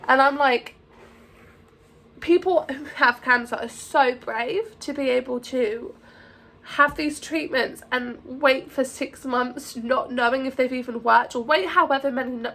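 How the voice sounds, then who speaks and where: Croaky, anxious young woman vlogging. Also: on the high side, a bit bright. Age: young adult. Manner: anxious, halting, full of fillers and restarts. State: croaky from a sore throat. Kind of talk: casual to-camera vlog.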